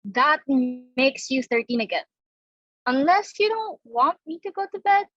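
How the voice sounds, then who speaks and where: A woman's voice: sits high, expressive, a little slow, a bit bright, lo-fi sound. A woman playing a timid, meek young daughter with a hesitant, mild manner in a dramatic reading of a stage comedy. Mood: timid, protesting.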